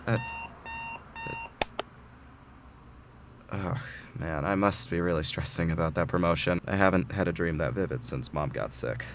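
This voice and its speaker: Casting: male actor as nervous, earnest middle-aged office clerk, lowish voice, audio drama, faint background noise. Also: a little fast. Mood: groggy, uneasy. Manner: nervous, earnest, self-coaching. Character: anxious, eager middle-aged mailroom file clerk man. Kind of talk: audio drama acting, talking to himself.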